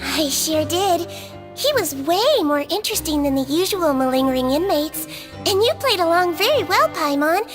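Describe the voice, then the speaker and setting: A woman voicing a small, gentle creature who works as a nurse, speaking softly with a sly, teasing kindness. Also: extremely high pitch, animated, moderate pace. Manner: soft, kindly, quietly teasing. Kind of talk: game voice acting. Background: music.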